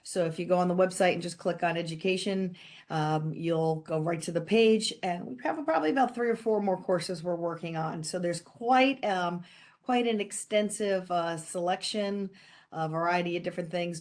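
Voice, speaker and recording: A woman giving a quick update to an online meeting, chatty and upbeat in a loose, informal way. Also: lowish voice, a little mellow. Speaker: presenter.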